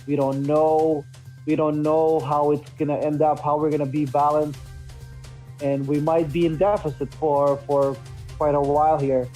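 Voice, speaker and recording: A man's voice: a little high, little pitch movement, normal speed, mellow, soft background music. A man co-hosting a casual current affairs chat, conversational and hesitant, often repeating phrases. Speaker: talk show co-host.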